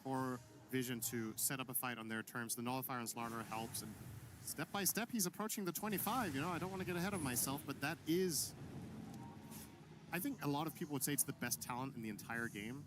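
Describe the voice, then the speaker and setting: A man's voice: medium pitch, brisk, background noise. A man giving analytical color commentary on an esports match, thinking aloud as he explains the play. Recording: commentary over loud game music.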